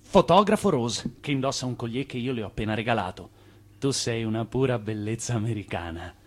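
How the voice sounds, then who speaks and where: An actor playing an effusive, charming Italian immigrant schemer and ardent suitor in a theatrical radio drama. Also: male voice, medium pitch, expressive, brisk, natural voice. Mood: dreamy, confident.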